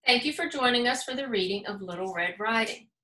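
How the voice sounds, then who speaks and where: A woman's voice: on the high side, fairly quick, sharp tone. A woman reading a children's storybook aloud expressively, like a school principal addressing young listeners. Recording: roomy echo.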